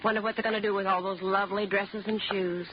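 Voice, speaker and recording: Quick, chatty old landlady, acted by a woman. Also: medium pitch, level tone, close to an everyday voice. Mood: eagerly gossipy. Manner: chirpy, gossipy, echoing partner. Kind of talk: 1950s American radio drama acting. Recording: muffled vintage radio with faint hiss.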